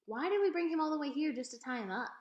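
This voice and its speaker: A woman's voice: sits high, animated, fast, roomy sound, lo-fi sound, voice pushed up. A woman voicing an outspoken young girl devoted to her dog, pleading and direct, in a storybook reading.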